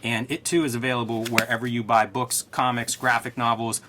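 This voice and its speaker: A man's voice: mid-range voice, flat delivery, brisk, noisy background. A man co-hosting a casual comics discussion, talking in a conversational, analytical way. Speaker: co-host and comics artist.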